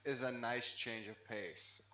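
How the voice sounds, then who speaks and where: A man's voice: mid-range voice, fairly even intonation, unhurried, crowd noise. A man chatting casually at a tabletop game, folksy and free with swearing. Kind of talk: casual chat. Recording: roomy, echoing, faint background noise.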